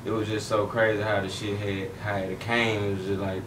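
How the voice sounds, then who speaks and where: A man's voice: on the low side, flat delivery, a little fast, fairly bright tone. A young man from Dallas, laid-back and slangy as an interview guest. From Dallas, Texas. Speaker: rapper guest. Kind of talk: casual interview chat. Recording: roomy, distant, noisy.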